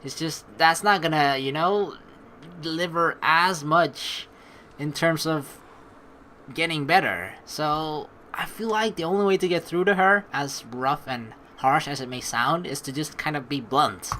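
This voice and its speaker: A let's play host giving rambling, excitable commentary on a story game and reading its text aloud. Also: medium pitch, measured pace, slight background noise. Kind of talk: game playthrough commentary with dramatic read-aloud. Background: game music.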